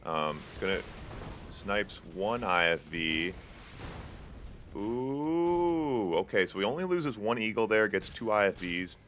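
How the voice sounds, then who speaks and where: A man's voice: lowish voice, sing-song, slow pace, fairly bright tone, slight background noise. A young man hosting a tournament stream, giving casual play-by-play commentary on a strategy game with lots of fillers and asides to chat. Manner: casual and chatty, many fillers, jokes with chat. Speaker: tournament host and caster. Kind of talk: live esports play-by-play commentary.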